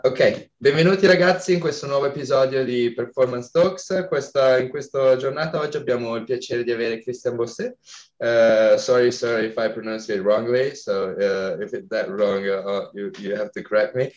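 A man's voice: medium pitch, steady tone, a little fast, a little mellow, echoey room, muffled recording. A man from Italy with an Italian accent hosting a podcast interview in English, hesitant and searching for words. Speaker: podcast host.

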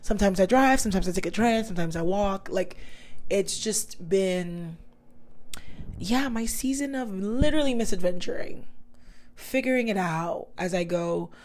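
A woman hosts her own podcast in a relaxed, slangy chat aimed straight at her listeners. Her voice is a touch raspy and hoarse, still recovering. It sits in an ordinary middle pitch. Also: normal speed. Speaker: podcast host. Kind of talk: casual solo podcast chat.

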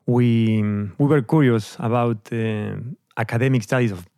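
A middle-aged man from Argentina with an Argentine accent and Spanish as his first language, telling his story as an interview guest with hesitant pauses and fillers. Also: mid-range voice, fairly even intonation, warm tone, dry recording, clean studio sound. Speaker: guest musician.

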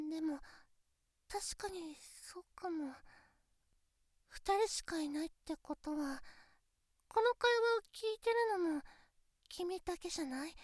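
A woman's voice: extremely high pitch, fairly bright tone, no room sound, heavily acted. A voice actress playing a shy, sweet teenage girlfriend who stammers when flustered, in a close-miked situation voice drama.

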